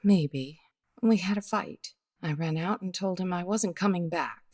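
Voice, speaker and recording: A woman playing a weary, guarded, bittersweet widow in the style of a 1950s radio detective drama, speaking in a measured, hesitant way. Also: lowish voice, a little mellow. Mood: wistful, bruised.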